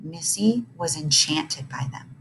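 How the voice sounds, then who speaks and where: Animated woman reading a children's story. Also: deep voice, slow pace, slight background noise, low-quality recording. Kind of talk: expressive scripted narration.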